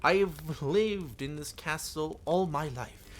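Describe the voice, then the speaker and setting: A man's voice: a little high, slow pace. A man voicing a cheerful, sheltered young princess in a visual novel, with an airy, regal, slightly formal manner. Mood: proud, gracious. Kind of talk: visual novel character read-aloud.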